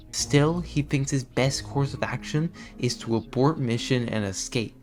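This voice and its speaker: A man giving scripted commentary on a horror series in an emphatic, dramatic storytelling way. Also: medium pitch. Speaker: video commentator. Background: background music.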